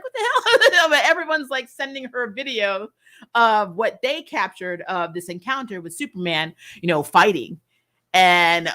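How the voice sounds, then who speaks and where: A woman host giving a casual, chatty recap and review, retelling things in an animated way with frequent asides. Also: a little high. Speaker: YouTube host reviewing TV episodes.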